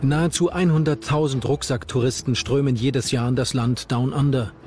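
A man's voice: mid-range voice, fairly even intonation, moderate pace, a little mellow. Male true-crime narrator over music. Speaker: documentary narrator. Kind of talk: scripted true-crime documentary narration in German.

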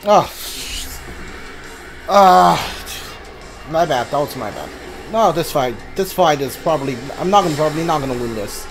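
A man's voice: fairly high pitch, expressive, normal speed, pauses often, music in the background. A man giving casual live gameplay commentary, muttering to himself and correcting himself as he plays. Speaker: gamer, streamer.

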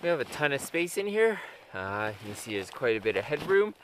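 A man's voice: mid-range voice, very animated, fairly quick, noisy background. A man casually explaining his winter camp setup outdoors at a snowy campsite, vlog style. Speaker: outdoor vlogger.

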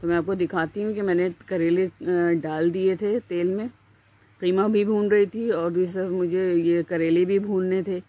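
A woman with a Pakistani Urdu accent, hosting a home cooking channel and explaining each step in a chatty voice-over with small pauses. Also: on the low side, fairly even intonation, narrow, tinny audio. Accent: Urdu-speaking Pakistani.

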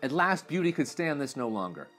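A man in his thirties reading a fairytale aloud to children in expressive narration. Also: fairly high pitch, brisk, faint music behind. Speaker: children's story reader. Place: small furnished room indoors.

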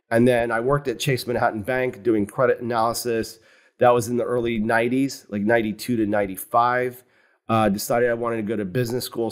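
A man in his fifties, a fund founder, talking through his career as an interview guest in a calm, explanatory way over a video call from a home office. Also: mid-range voice. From United States. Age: 50s. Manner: measured, explanatory, with frequent "you know". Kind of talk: conversational interview. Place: home office with wooden cabinets. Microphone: video call webcam.

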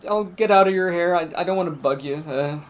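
A middle-aged man playing an awkward, panicky man in an improvised soap opera, halting and apologetic. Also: high-pitched, natural voice. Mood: awkward, nervous. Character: awkward, panicky man after a one night stand.

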